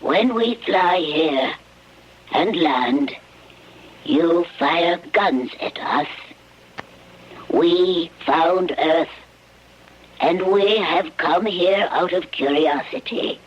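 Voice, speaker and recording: A small, wary alien visitor for a radio drama. The speech is extremely slow, formal and halting, with a husky, breathy tone that carries menace. The recording is dull and lo-fi. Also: mid-range voice. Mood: solemn warning. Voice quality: husky, breathy, often near a whisper. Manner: halting, stilted, formal phrasing without contractions.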